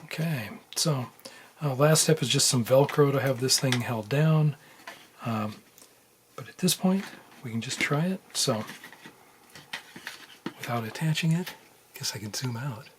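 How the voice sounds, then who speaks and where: A man narrates a hands-on electronics job in a casual, unscripted way. His mid-pitched voice is low-key and halting, murmured as he works. The camera mic picks up faint room noise and small handling clicks. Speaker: electronics hobbyist demonstrating a repair. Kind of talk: casual unscripted how-to narration while working. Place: indoors at a workbench.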